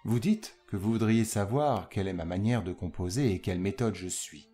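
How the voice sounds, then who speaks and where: Guy reading as an old-time composer, dark mellow voice, literary and measured, bit of music under it. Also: on the low side, lightly acted. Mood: reflective. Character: famous male composer quoting his own musical inspiration. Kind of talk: dramatised reading of a quotation.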